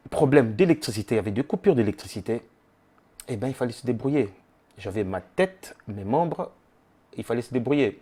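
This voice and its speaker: Young male vlog host from the Congo, low husky voice, loose chatty delivery full of restarts. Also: darkish tone. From Democratic Republic of the Congo. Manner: conversational, with many fillers and rephrasings. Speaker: YouTube channel host. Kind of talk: casual monologue to camera.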